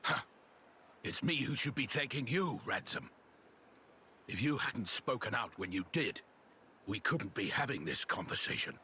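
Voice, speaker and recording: A man's voice: medium pitch, animated, unhurried, long pauses, sharp tone, a little hiss or hum. A man playing an earnest, loyal warrior in a video game, formal and theatrical in his speech. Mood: earnest, surprised. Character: excitable, loyal male warrior, reverent of royalty. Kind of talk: video game cutscene acting.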